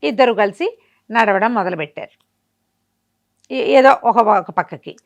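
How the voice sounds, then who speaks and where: A woman's voice: medium pitch, unhurried, highs cut off. A woman telling a folk tale conversationally, often asking and answering her own questions. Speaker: storyteller. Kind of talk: spoken folk-tale storytelling.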